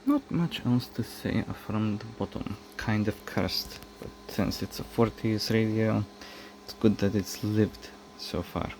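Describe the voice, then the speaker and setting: Man, hobbyist restorer, casual narration, halting and low-key, slow pace, faint noise behind. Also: lively intonation, a little mellow, rough recording. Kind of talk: casual hands-on narration of a repair.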